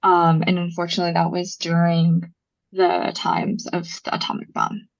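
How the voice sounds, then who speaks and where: A young woman from Los Angeles talks as a podcast guest in a loose, chatty way. Her voice sits on the low side and is filled with ums and likes. The recording sounds dull and muffled. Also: monotone, normal speed, vocal fry. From Los Angeles, USA. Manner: storytelling, frequent ums and likes, laughs easily. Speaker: podcast guest, brand founder. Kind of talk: casual interview chat.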